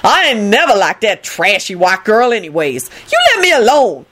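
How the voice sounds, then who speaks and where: A woman voicing a disdainful, sassy, quick-tempered woman who scolds scornfully in an audiobook story. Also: mid-range voice, sing-song, a bit bright. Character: disdainful, wrathful, sassy Southern-talking woman.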